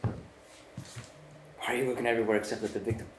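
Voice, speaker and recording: A man's voice: mid-range voice, level tone, moderate pace, lightly acted. A man playing a younger, incredulous police detective in a live table read, speaking emphatically. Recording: echoey room with clear background noise.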